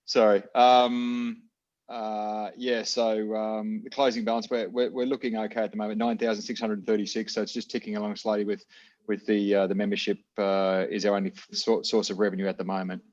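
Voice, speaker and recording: An Australian man gives a treasurer's report over a video call, his low voice staying flat. He halts and restarts often. The audio is dull and lo-fi. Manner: halting, many fillers and restarts. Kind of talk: online meeting report.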